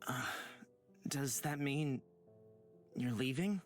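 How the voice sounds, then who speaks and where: Game role: soft-hearted young wanderer voiced by an actor, mid pitch, unhurried, halting, music beneath. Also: close to an everyday voice. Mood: hesitant. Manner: halting, tentative. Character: gentle, hesitant, awestruck young traveler. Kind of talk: visual novel voice acting. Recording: music under the voice.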